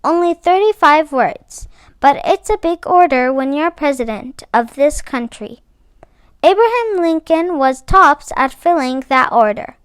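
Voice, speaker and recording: A woman with a notably high voice reads a children's picture book aloud. She takes her time and sets out each phrase deliberately, leaning on the important words. The sound is dry, with little room around her. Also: expressive, dry recording. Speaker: read-aloud book club host.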